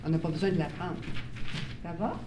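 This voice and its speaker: A woman's voice: lowish voice, fairly quick. A woman leading a workshop for parents, explaining ideas and checking in with her listeners. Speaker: workshop presenter on child bilingualism. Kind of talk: live workshop talk to parents. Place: meeting room with audience. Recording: roomy sound from a distant mic, faint noise.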